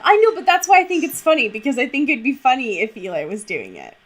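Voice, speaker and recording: A woman co-hosting a podcast in casual banter, playful, slangy and quick to swear. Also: sits high, sing-song, breathy edge. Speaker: podcast co-host.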